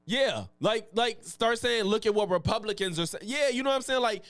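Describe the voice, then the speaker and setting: Heated, swearing high-voiced man. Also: a little fast, fairly bright tone, dry recording. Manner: profane, heated, slangy. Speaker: political commentary streamer. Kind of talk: live reaction commentary.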